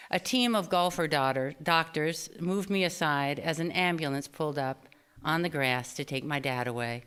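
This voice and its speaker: A woman reads a personal memoir aloud on stage. Her voice sits a little low and is very smooth and clear. She tells it expressively, shaping and stretching phrases with deliberate care. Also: a bit bright. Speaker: author reading own personal essay. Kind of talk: scripted memoir reading at a live storytelling event. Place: small theater stage, live reading before an audience.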